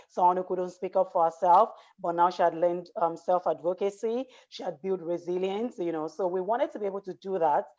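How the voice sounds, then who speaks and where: A woman founder tells her story earnestly in a lowish voice, pausing often on filler words. The audio is dull and lo-fi, as over a video call. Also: a little mellow. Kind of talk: personal story in an interview.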